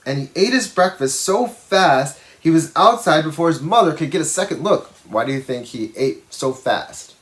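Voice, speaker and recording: Animated man reading aloud to children. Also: on the high side, normal speed, hardly any pauses. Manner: expressive, explains pictures and checks in with listeners. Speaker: educator reading a picture book to schoolchildren. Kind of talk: read-aloud storytelling with asides and questions to young listeners.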